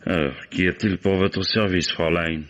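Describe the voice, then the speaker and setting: An actor playing a shrewd, unhurried police commissioner in a French radio mystery, courteous and probing. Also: male voice, sits low, steady tone, fairly bright tone. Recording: dull old radio recording.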